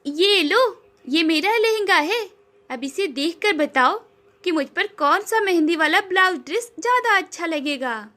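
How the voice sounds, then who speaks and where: A woman's voice: very high voice, lively intonation, unhurried, bright, forceful tone, full character voice. A woman voicing a dramatic, well-off young city woman in a cartoon story, gushing and dropping English words. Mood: friendly.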